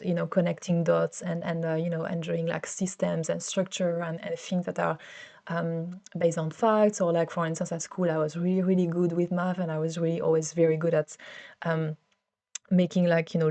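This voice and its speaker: Vlogger, woman, 30s, French accent, lowish warm tone, chatty with frequent restarts. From France. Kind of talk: casual solo vlog talk.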